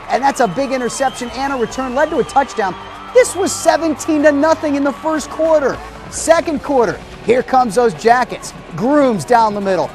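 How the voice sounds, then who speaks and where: An American man anchoring high school football highlights in an excited, punchy broadcast delivery. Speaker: sports anchor. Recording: TV sports broadcast with music behind. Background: music bed.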